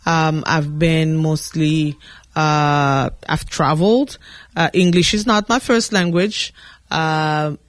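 A woman from the Democratic Republic of Congo whose first language is French, answering radio interview questions earnestly with many pauses and fillers. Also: sits low, fairly even intonation, dry recording. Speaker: political candidate guest. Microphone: studio mic.

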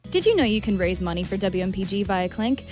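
A woman with a medium-pitched voice reads a scripted station announcement. Music plays clearly behind her as she narrates the promo. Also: very animated, quick pace.

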